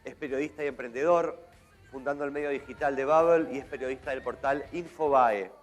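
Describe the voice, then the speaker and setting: A mid-pitched man reads a guest speaker's credentials to an audience. His delivery is steady with small stumbles, and faint music plays under it. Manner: measured, slightly halting reading. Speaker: event host. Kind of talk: reading a speaker introduction.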